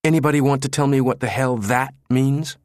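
Audiobook role: male narrator as bellowing computer chief, crude and sardonic, raised pitch, very dry studio sound. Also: expressive, moderate pace, no room sound, close to an everyday voice. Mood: exasperated. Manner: brash, sardonic, spitting out words. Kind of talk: audiobook character dialogue.

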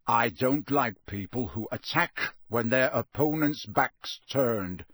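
A man voicing a gruff, menacing old male wizard professor with a gravelly growl, barking his words in a storybook reading. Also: mid-range voice, animated, takes it slowly, dry recording, clean studio sound. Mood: angry growl. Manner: barking, clipped, forceful.

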